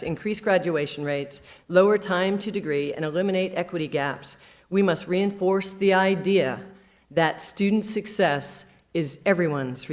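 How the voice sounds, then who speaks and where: A woman opens a university staff day with formal remarks read from a script. She goes on to introduce the first speaker. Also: low voice. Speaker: conference host. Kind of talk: prepared opening remarks.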